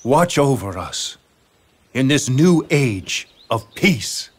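A man's voice: mid-range voice, sing-song, slow pace, husky, heavily acted. A man acting a noble general in a video game, speaking solemnly and reverently.

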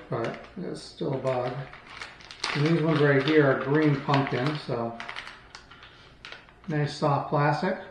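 A man in his thirties talking informally and off the cuff as he shows products as a channel host, in a plainspoken, rambling way. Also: mid-range voice. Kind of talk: casual product showcase, unscripted vlog talk. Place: small hard-walled room at home, indoors. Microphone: camera mic across a table. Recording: very echoey room, faint background noise.

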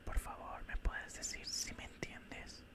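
A man voicing a cold, condescending alien scientist in a whispered ASMR roleplay, speaking in a calm, clinical, breathy whisper close to the microphone. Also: lightly acted. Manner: slow, calm, clinical, faintly menacing. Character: cold, condescending male alien scientist examining a human specimen. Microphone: close-up studio microphone.

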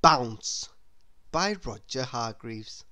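A woman reading a children's story aloud in an expressive, deliberate way. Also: rumbling low. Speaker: storybook reader. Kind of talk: scripted children's story narration.

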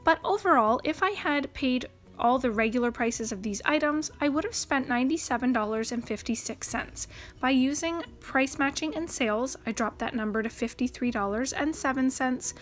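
A woman from Canada narrating a grocery haul as a voice-over, listing prices and savings in a brisk, upbeat way. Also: high-pitched. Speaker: couponing channel host. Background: faint background music.

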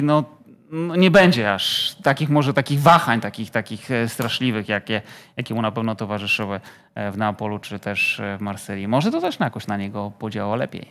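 A man's voice: fairly low pitch, a bit bright. A man talking football as a studio pundit, hesitant and full of fillers, in a TV studio.

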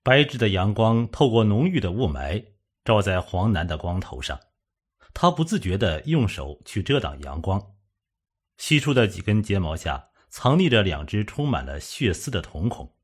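Male audiobook narrator, low-pitched, husky, expressive swings in tone, scripted suspense storytelling. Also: normal speed.

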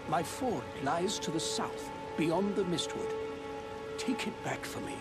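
An actor playing a pompous, self-important noble lord with a grand, theatrical manner, in a game cutscene. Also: male voice, a little high, animated, a little slow. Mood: grandiose. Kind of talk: video game voice acting. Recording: game cutscene with loud music.

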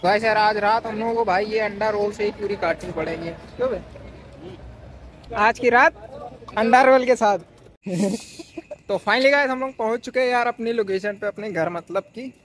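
A man's voice: sits high, moderate pace. A young man filming a casual vlog on a phone held at arm's length, chatting and teasing his friend in slangy banter as he walks through city streets and markets. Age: young adult. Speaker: vlogger. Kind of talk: casual handheld travel vlog. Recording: phone recording with loud crowd. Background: crowd noise.

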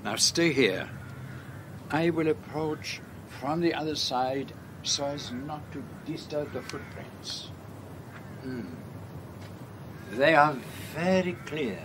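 A fussy, dignified elderly Belgian detective, speaking with a French accent in slow, formal, carefully measured sentences. The voice sits at a middling pitch and stays unhurried. This is a radio play, with faint noise underneath. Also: male voice. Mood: methodical. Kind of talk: radio drama acting and narration.

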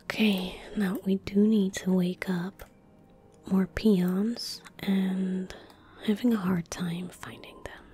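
A woman in her twenties giving gentle ASMR game commentary in a soft, breathy whisper, close to a desktop microphone. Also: mid-range voice, steady tone. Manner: gentle, unhurried, murmuring. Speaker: streamer playing a video game. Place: small indoor room at a desk. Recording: close-miked with faint background noise. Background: faint game ambience.

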